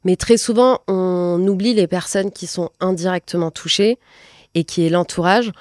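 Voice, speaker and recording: A woman in her late twenties hosts a conversational talk. Her voice sits on the low side for a woman, and the sound is close and dry. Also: normal speed, darkish tone, dry recording. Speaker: podcast host. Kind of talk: conversational interviewing at a talk event.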